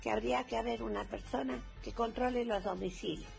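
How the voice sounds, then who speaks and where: A woman's voice: a little high, fairly even intonation, fairly quick, creaky, a little mellow, faint music behind. A woman calling in to a radio show, complaining in an indignant tone. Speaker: caller. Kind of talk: radio call-in testimony.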